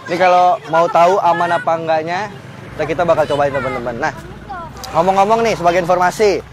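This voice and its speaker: A young man presenting an on-location vehicle test on a flooded street, chatty and full of fillers. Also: on the high side. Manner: chatty, keeps addressing viewers as friends, many fillers. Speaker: automotive news presenter. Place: outdoors on a flooded residential street.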